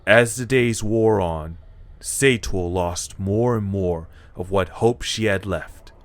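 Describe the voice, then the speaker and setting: A man's voice: on the low side, slow, no room sound. A man reading scripted story narration as the narrator of an audio drama.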